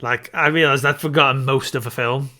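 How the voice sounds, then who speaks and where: A man's voice: mid-range voice, fairly even intonation, brisk, sharp tone. A man co-hosting a casual film discussion, giving his opinions and repeating points for emphasis. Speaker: podcast co-host.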